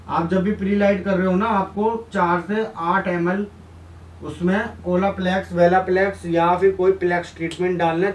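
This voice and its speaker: A young man giving an informal, instructive tutorial, addressing viewers directly and mixing in English terms. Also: high-pitched. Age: young adult. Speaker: hair colour instructor and video host. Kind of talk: informal tutorial explanation at a whiteboard. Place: small indoor room beside a whiteboard. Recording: roomy echo, distant mic, background noise.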